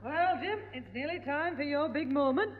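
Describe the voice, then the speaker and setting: Fussing mum, very high lively voice, echoey old radio. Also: female voice, moderate pace, a little hiss or hum. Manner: calling, fussing. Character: fussing mother of a schoolboy. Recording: echoey old radio studio recording, dull.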